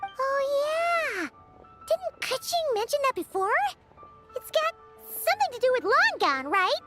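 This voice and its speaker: Squeaky little fairy girl, very high. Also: big pitch swings, a little slow, heavily acted. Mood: cheery, excited. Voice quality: squeaky, childlike. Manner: refers to self in third person, teasing. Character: small floating fairy companion girl, bubbly and cheeky. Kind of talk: English video game voice acting. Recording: loud music behind the voice. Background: loud game music.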